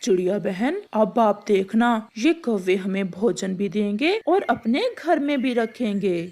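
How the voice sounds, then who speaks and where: Woman as a slow, kindly pigeon. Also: medium pitch, animated, darkish tone. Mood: reassuring. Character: kindly, patient, clever pigeon, a brotherly helper. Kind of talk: Hindi cartoon voice acting.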